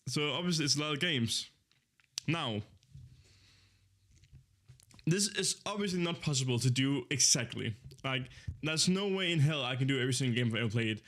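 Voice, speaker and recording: A man's voice: medium pitch, a bit bright. A young man in his twenties who streams and chats casually to his viewers, rambling in an easygoing way and often correcting himself. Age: 20s. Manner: rambling, easygoing, with many fillers and self-corrections. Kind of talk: casual live-stream chat.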